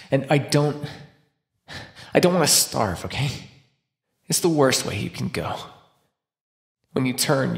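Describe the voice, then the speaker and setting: A man's voice: medium pitch, fairly bright tone, heavily acted. A man voicing a starving captive vampire in an immersive roleplay monologue, sardonic and flirtatious with a desperate edge. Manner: sardonic, teasing, breathy pauses and sighs. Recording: roomy, echoing sound.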